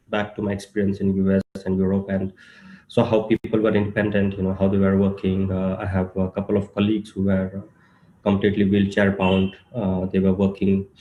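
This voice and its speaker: A man with a cold speaking haltingly as a startup founder on a virtual panel, with many ums and pauses. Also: on the low side, darkish tone, echoey room, slight background noise, poor audio. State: has a cold. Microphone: video call.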